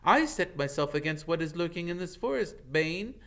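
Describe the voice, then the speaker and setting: Man voicing a high-pitched, noble, solemn centaur. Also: sing-song, fairly bright tone. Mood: defiant anger. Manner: measured, earnest, formal. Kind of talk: dramatic audiobook character reading.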